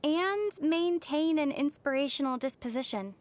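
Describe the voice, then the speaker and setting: Young game heroine, really high girlish voice, wry and playfully teasing, pretty composed. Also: animated, normal speed. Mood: wry, amused. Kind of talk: video game voice acting.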